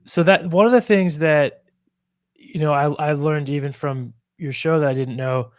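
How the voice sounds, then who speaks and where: A man's voice: fairly high pitch, normal speed, no echo, studio quality. A man hosting a podcast interview, casual and affirming, often saying "man" and "you know". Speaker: podcast host.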